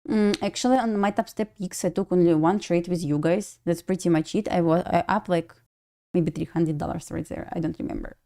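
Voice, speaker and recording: A woman's voice: fairly low pitch, fairly even intonation, fairly quick, warm tone. A woman from Belarus with a Russian accent, casually commenting on a live trading stream, thinking aloud and answering chat. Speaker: day-trading livestream host.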